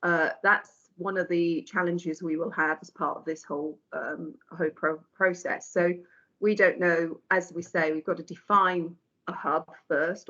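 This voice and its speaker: A woman's voice: fairly low pitch, a bit bright. Woman, hesitant caller, restarts often, muffled video-call audio. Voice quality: hesitant, halting speech with restarts. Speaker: meeting attendee asking questions. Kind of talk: casual questions on a work call.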